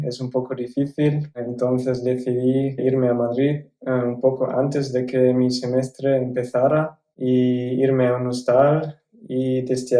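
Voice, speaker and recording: Young native German-speaking man as interview guest, slow and even, a little mellow, in an echoey room. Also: mid-range voice. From South Tyrol, Italy. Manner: careful, measured learner's Spanish with restarts. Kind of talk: casual interview answers.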